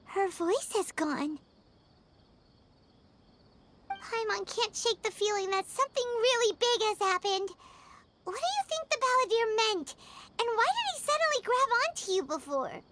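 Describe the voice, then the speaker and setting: Squeaky, excitable little fairy companion. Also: female voice, moderate pace, heavy background noise. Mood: worried. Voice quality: squeaky, childlike. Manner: speaks of herself in third person, dramatic. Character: tiny high-pitched childlike fairy companion, excitable, anxious. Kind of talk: animated video game voice acting.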